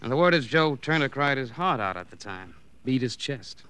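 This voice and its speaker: An actor playing a wry, needling police colleague, talking breezily in an old radio crime drama. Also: male voice, medium pitch, lively intonation, moderate pace, sharp tone, clean tone. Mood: breezy briefing. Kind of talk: 1940s-50s radio drama acting.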